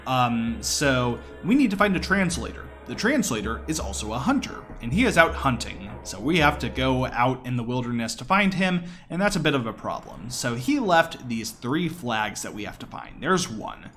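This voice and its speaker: A man's voice: mid-range voice, a bit bright. A man giving casual, explanatory live commentary as he speedruns a video game.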